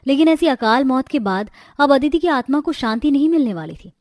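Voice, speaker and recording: A woman's voice: sits high, expressive, a little fast, darkish tone, no room sound. A woman delivering scripted, dramatic narration for a cartoon story.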